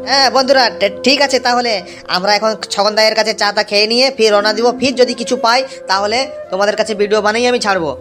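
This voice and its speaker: A young male pilgrim from an amateur cartoon, acted out by a man, with a notably high voice. He speaks, repeating himself to reassure and sounding devout. Faint music sits underneath. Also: steady tone, fast talker, fairly bright tone. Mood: chatty. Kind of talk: amateur cartoon dialogue, vlog-like.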